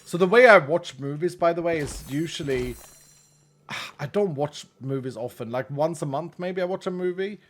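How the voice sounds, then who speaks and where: A man's voice: on the high side, moderate pace. A Swedish-born man, Swedish his first language, speaking English with a Swedish accent in a slightly raised voice. He chats loosely with his stream's viewers, laughs at himself and often leaves sentences hanging. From Sweden. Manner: chatty, self-deprecating, laughs often, trails off mid-sentence. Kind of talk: casual chat while gaming, reading chat.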